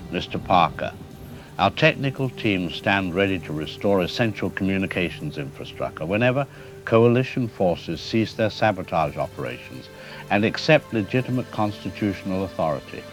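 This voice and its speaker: A man playing a stern, uncompromising government official in an audio drama, with a formal, bureaucratic delivery. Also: low voice. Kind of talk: scripted audio drama political statement.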